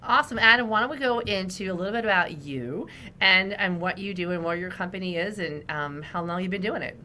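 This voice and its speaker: A woman's voice: on the low side, fairly quick, bright, forceful tone, a little hiss or hum. A woman hosting a business podcast, introducing her guest and asking upbeat, friendly questions. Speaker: podcast host. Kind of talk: interview hosting.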